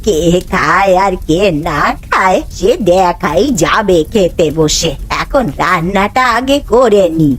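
A woman voicing a kindly, confident old village aunt in a cartoon, speaking slowly and without hurry. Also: lowish voice, slightly rough. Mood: calm, assured. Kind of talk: Bengali animated ghost-story voice acting.

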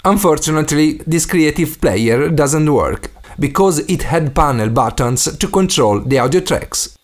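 A man's voice: fairly high pitch, expressive, a little slow. A man from Italy with an Italian accent and non-native English phrasing, narrating a scripted DIY tutorial as the host. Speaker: DIY video maker and host.

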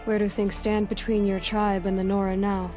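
A young woman playing a determined, self-reliant hunter in a video game, speaking in a measured, matter-of-fact way. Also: medium pitch, level tone, darkish tone, close to an everyday voice. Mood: businesslike. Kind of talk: dramatic video game acting. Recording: music behind the voice.

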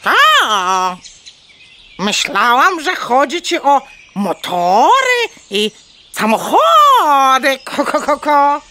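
Bold high-voiced clucking mother hen. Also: wildly swooping pitch, drawn-out words, pushed and bright, heavily acted. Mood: resolute. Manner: theatrical, clucking between phrases. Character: Mona, bold clever mother hen, bossy and curious. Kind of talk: children's audio play acting.

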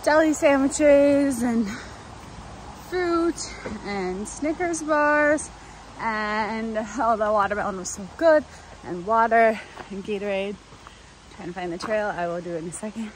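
A woman's voice: on the high side, unhurried, poor audio. Young woman chatting casually outdoors, faint noise. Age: young adult. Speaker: vlogger hiking a long trail. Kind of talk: casual video diary chat. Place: outdoors in woodland. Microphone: phone at arm's length.

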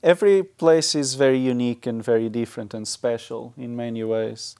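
Male guest, Portuguese accent, thirties, gravelly and mellow, in conversational interview. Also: medium pitch, fairly even intonation, a little fast. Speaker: interview guest, software tech lead. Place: small indoor talk show studio.

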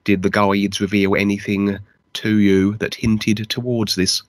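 A male host asks his guest questions in a low, level voice, hesitating now and then with fillers. The recording is dry and close, with no room sound. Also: flat delivery, a little slow, dry recording. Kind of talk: interview questions.

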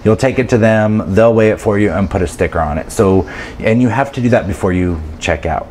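A man in his thirties chats to his camera in a small apartment room. His voice sits on the low side, and he talks in an animated, chatty way with frequent laughs. A faint background hiss runs under the close camera mic. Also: a little fast. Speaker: travel vlogger. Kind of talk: casual talk to camera. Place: grocery store, then small apartment room indoors. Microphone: camera mic, handheld then fixed camera close up.

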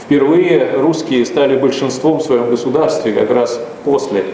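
A middle-aged man speaks from a podium in a large conference hall, his delivery measured and broken by hesitant pauses. His tone is mellow, and the sound carries a heavy hall echo with faint noise behind it. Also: mid-range voice. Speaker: speaker at a round table. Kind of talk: formal lecture at a podium. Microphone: podium microphone.

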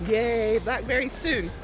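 A woman's voice: on the high side, rough recording. A woman chatting casually with her hiking companions outdoors. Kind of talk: casual chat. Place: outdoors on a trail.

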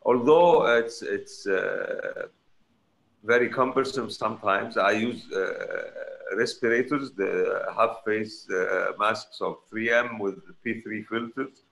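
Gravelly, halting middle-aged man. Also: on the low side, fairly bright tone. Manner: halting, repetitive, emphatic. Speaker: surgeon panelist. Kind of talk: panel discussion over video call. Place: home room with curtains. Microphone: video call, computer mic.

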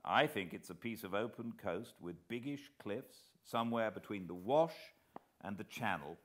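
A man's voice: fairly low pitch, expressive, moderate pace, voice pushed up. A British man voicing a determined, decisive amateur sleuth in a storybook reading. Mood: intent. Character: determined, urgent male amateur sleuth hero.

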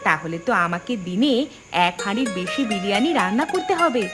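Supportive village cook and wife, played by a woman, cartoon drama, medium pitch, music clearly underneath. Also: sing-song, a little fast, natural voice. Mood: encouraging. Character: supportive, practical village wife who cooks. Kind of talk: Bengali cartoon story dialogue.

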